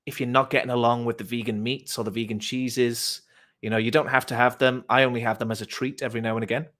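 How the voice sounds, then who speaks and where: A man's voice: mid-range voice, level tone, quick pace, fairly bright tone. A man from Wales with a British accent, talking casually and persuasively in a friendly, informal, encouraging way as a host. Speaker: host, vegan activist. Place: online voice chat.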